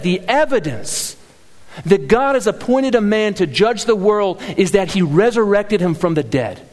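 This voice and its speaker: A man preaching a sermon, earnest and building emphasis through repeated phrases. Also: high voice. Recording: long hall reverb.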